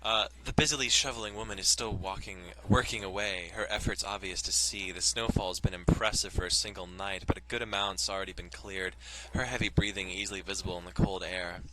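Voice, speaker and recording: A man's voice: deep voice, steady tone, brisk, bright, forceful tone, a little hiss or hum, low-quality recording. A man hosting a let's play, chatting casually, joking and swearing, and reading visual novel text aloud. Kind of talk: casual gaming commentary with read-aloud narration.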